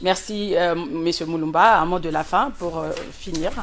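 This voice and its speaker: A woman hosting a political interview in a conversational way, often pausing on fillers as she builds her questions. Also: on the low side, slight background noise. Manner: hesitant phrasing with many drawn-out fillers. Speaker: interviewer, host.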